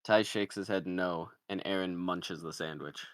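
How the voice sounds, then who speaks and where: Male screenplay narrator, very low register, dry sound, scripted comedy directions. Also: fairly even intonation, measured pace, no room sound.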